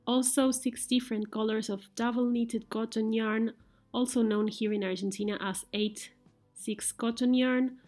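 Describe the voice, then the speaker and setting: A woman with a Spanish accent and a mellow, warm voice talks through a craft tutorial gently and haltingly. She pauses often on small fillers. The sound is dry and close, with soft music under it. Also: a little high, fairly even intonation, no room sound. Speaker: weaving tutorial host. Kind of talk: casual personal talk and craft tutorial.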